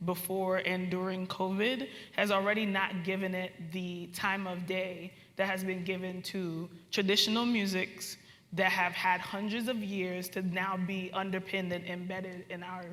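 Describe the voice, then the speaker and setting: A woman from Baltimore, born in Trinidad and Tobago, speaking as a panelist in a measured, deliberate, earnest way. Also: fairly low pitch, little pitch movement, a bit bright. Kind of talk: panel discussion. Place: conference hall. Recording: echoey hall with long reverb.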